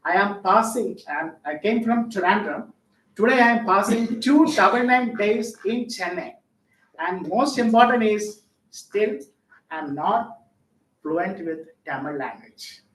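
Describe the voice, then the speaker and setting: A man from Kerala with a Malayali accent and Malayalam as his first language, introducing himself as a guest at a club meeting, pausing often as he searches for words. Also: high voice, lively intonation, fairly bright tone. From Central Kerala, India. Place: meeting hall. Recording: echoey hall, distant mic.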